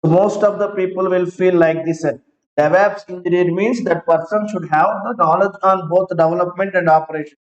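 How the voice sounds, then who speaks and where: Guy teaching tech online, high voice, says everything twice, echoey dull lo-fi sound. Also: steady tone. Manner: slow, repeats each phrase several times; asks students to confirm they follow. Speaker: IT trainer. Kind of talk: online class lecture. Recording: roomy, dull lo-fi online class audio.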